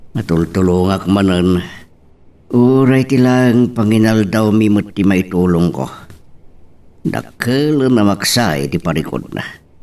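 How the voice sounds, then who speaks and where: Man playing slow, low-voiced old Ilocano father. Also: darkish tone, distant mic, exaggerated voice. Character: humble, worried elderly poor father of a young woman. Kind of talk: Ilocano radio-style drama acting.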